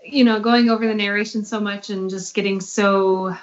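Woman, medium pitch, casual panel chat, voice actor, slightly muffled recording. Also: fairly even intonation, normal speed. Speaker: voice actor and narrator of the show.

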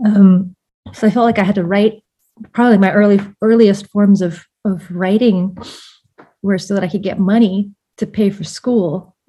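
A woman from Vietnam, an author talking through her thoughts in a relaxed interview, with lots of fillers and restarts. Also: medium pitch, moderate pace, husky, dull audio. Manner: reflective, many fillers and restarts, laughs often. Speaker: author and guest. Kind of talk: casual interview conversation.

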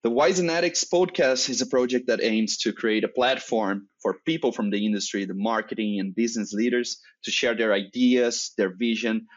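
Brazilian man hosting, slightly muffled. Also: lowish voice. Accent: Brazilian Portuguese. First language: Portuguese. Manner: measured, with frequent "uh" fillers. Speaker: podcast host. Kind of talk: semi-scripted podcast intro and interview.

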